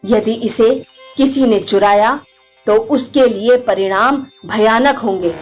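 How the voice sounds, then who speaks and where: A woman narrating a scripted moral fairy tale for children. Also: on the high side. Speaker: storyteller.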